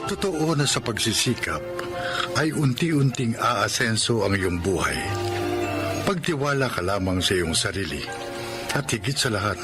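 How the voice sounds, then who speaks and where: A man with a Filipino accent hosting a radio drama with solemn, deliberate scripted narration. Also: on the low side, animated. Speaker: drama anthology host and narrator. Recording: loud music under the voice.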